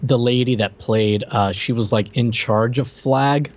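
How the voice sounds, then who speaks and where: A man co-hosting a podcast, chatting in an animated, incredulous way with laughing asides. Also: mid-range voice, unhurried. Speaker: podcast co-host. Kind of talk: casual chat. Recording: slightly dull lo-fi mic.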